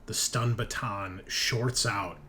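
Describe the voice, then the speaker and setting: A man's voice: lowish voice, steady tone, slow pace, bright, forceful tone. A man working as game master, narrating a tabletop horror game in vivid second-person description mixed with casual banter.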